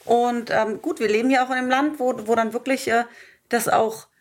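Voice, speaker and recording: A woman in her thirties with a slightly raised pitch, co-hosting a podcast. She talks in a chatty, emphatic way and often repeats her point for weight. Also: fairly even intonation, fairly quick. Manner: warm-hearted, chatty, emphatic. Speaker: podcast co-host. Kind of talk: casual conversational podcast.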